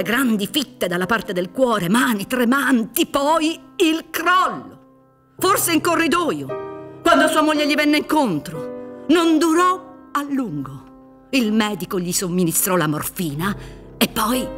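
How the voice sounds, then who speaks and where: A relentless prosecutor played by a woman lays out an accusation in theatrical radio drama style. The delivery is deliberate and insinuating, in a mid-pitched voice over faint music. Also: fairly bright tone, close to an everyday voice. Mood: grave, dramatic. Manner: deliberate, emphatic, dramatically narrating. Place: dark theatre stage set for radio recording. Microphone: boom-mounted studio microphone, headphones.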